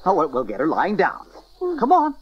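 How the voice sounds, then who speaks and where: An actor playing a bustling, talkative, good-hearted innkeeper who gets flustered easily, in a dramatized audio Bible. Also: male voice, fairly high pitch, moderate pace. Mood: hurried, bossy. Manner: excitable, chatty. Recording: dull cassette transfer, crowd sounds behind.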